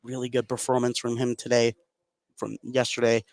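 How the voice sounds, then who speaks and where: A man's voice: mid-range voice, completely flat delivery, moderate pace, no echo, studio quality. A man doing live play-by-play radio commentary of a basketball game. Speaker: play-by-play announcer. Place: indoor basketball gym during a game. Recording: clear gym noise behind the voice.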